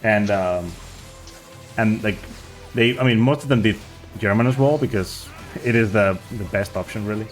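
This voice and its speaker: Male speedrunner, lower voice, rambling casual chatter over quiet background music. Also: moderate pace. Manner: rambling, searching for words. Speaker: speedrunner streaming a game. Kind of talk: casual chat while playing.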